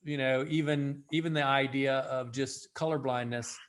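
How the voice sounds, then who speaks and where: A man's voice: medium pitch, steady tone, moderate pace. A man hosting an interview, posing long, searching questions with frequent fillers. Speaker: host.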